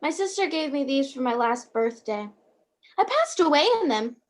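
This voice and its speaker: A woman's voice: sits high, lively intonation, moderate pace. A woman playing a kindly, chatty, bumbling apprentice guardian angel in a radio play. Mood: chatty, flustered. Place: at home on a video call.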